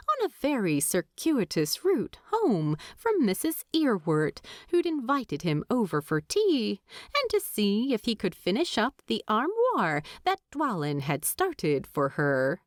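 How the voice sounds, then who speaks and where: A woman's voice: mid-range voice, dry recording, clean studio sound. A woman reading a story aloud as a scripted audiobook narration.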